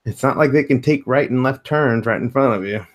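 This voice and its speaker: A man's voice: mid-range voice, brisk. A man in his thirties, co-hosting a podcast, chatting casually and profanely with joking asides over a video call from home. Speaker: podcast co-host. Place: home room with headphones.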